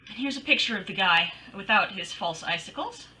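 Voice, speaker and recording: A woman's voice: medium pitch, lively intonation, a little fast, pushed and bright. A woman playing a wisecracking, breezy female private detective in old-time radio style, with wry, slangy delivery. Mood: dry, wisecracking. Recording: distant microphone.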